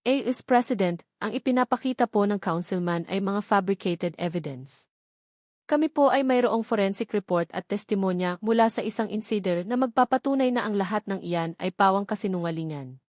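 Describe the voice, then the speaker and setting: Assertive female attorney, read by a narrator woman, formal, mid pitch, dry audio drama sound. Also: a little fast, a little mellow, dry recording, close to an everyday voice. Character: firm female legal counsel, formal and assertive.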